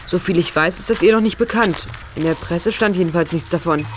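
Woman as a low-voiced young sleuth. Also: brisk, noisy background, lightly acted. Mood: curious, assured. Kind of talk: German audio drama acting.

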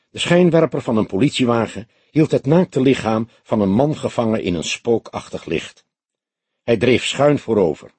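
Husky man narrating a detective story. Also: medium pitch, a little mellow, no room sound. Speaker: audiobook narrator. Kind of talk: scripted narration of a detective novel.

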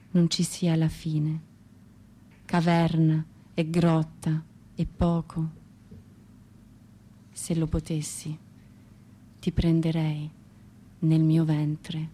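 An actress plays a woman poet, tormented and proud, a grieving mother with a romantic soul. Her voice sits on the low side and moves through the lines with dramatic intensity and deliberate pauses. It is a radio theatre monologue, with music playing behind her. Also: warm tone.

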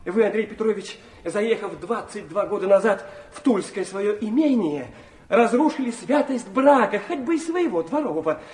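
An actor playing a proud, wounded teenage illegitimate son in a radio drama, speaking in a passionate, bitter, declamatory way. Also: male voice, sits high, lively intonation, moderate pace, darkish tone. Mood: bitter, accusing.

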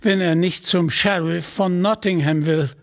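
A male reader acts out a hearty outlaw leader from a storybook. His voice is pitched high for a man and he speaks directly. The words are unhurried. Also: fairly even intonation. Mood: curious.

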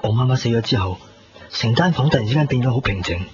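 A man's voice: mid-range voice, lively intonation, fast, lightly acted. An actor playing a reflective, squeamish young man living alone in a radio drama, speaking Cantonese with a Hong Kong accent. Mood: subdued. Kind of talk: 1990s radio drama, intimate narration and dialogue. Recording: muffled 1990s radio recording, music behind.

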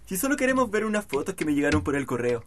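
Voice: mid-range voice, very animated, rapid-fire, background noise, natural voice. A performer playing an enthusiastic, laid-back young boy with a Chilean accent in a radio drama. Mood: relaxed.

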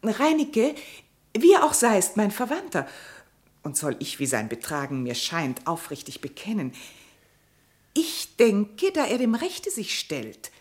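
A woman's voice: mid-range voice, wildly swooping pitch, a little slow. An actress playing a clever female ape courtier in a classical verse radio drama, pleading with eloquent, deferential persuasion. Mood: tactful pleading.

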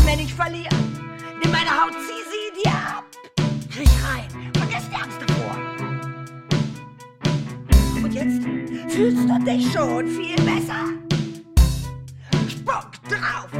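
An actress performing a fierce, defiant chant in a radio play, half sung and half shouted. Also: female voice, very high voice, lively intonation, slow pace, pauses often, heavily acted. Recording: loud music over the voice. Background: loud instrumental music.

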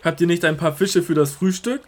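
An actor playing a calm, authoritative Jesus in a Bible audio drama, speaking in a measured, solemn and tender manner. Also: male voice, a little high, close to an everyday voice. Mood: calling, inviting. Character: risen Jesus, calm authoritative male teacher.

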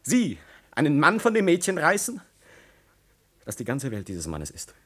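An actor playing a passionate, defiant young nobleman in love in a classical tragedy radio play, declaiming in a husky voice. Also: a little high, wildly swooping pitch, normal speed, a bit bright, full character voice. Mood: indignant. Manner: declamatory, impassioned.

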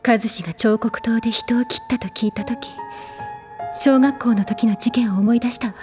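A woman plays a caring older sister weighed down by regret. Her fairly high voice is soft and reflective, acted in audio drama style over clear music. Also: steady tone, moderate pace, close to an everyday voice. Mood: regretful, worried. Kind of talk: audio drama monologue in dialogue.

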